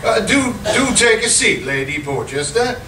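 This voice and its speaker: A man's voice: sits high, big pitch swings, moderate pace, bright, forceful tone, heavily acted. A man playing an earnest, obsequious church committee member in an amateur radio comedy, formal and faltering. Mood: eager, earnest. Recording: noisy, echoey live room, distant mic.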